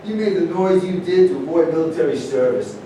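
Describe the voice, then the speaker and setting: Guy reading a defensive scoffing golf pro, kinda high voice, far off in echoey room. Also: sing-song, brisk, dark tone. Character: touchy, scoffing male professional golfer. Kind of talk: staged play reading. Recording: echoey room, distant mic, noisy rumble.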